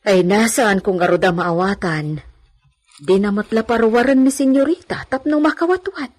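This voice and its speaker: A woman's voice: medium pitch, normal speed, a little mellow, natural voice. An actress playing a chatty, concerned household helper woman in a village radio drama, speaking in a folksy, gossipy manner. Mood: worried gossip.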